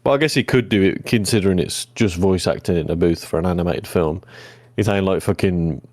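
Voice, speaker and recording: Deep-voiced bloke, mumbly. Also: male voice, no room sound, clean studio sound. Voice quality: deep voice he tends to mumble when relaxed. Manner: easygoing, swears casually, quick agreeing "yeah"s. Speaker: podcast co-host. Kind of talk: casual chat.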